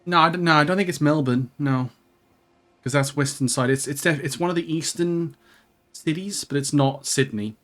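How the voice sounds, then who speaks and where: A man's voice: fairly high pitch, moderate pace, fairly bright tone. A man chatting casually in a rambling, jokey, sweary way as he plays a co-op video game. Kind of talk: casual co-op let's play chat.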